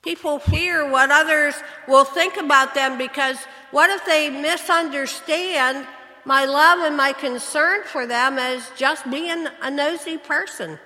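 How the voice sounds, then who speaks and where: A woman's voice: high voice, a little slow, sharp tone. A woman pastor preaching a sermon in a church, earnest and asking her listeners questions. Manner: earnest, asks the congregation questions. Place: church sanctuary. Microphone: church microphone, switching mics. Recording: long church reverb.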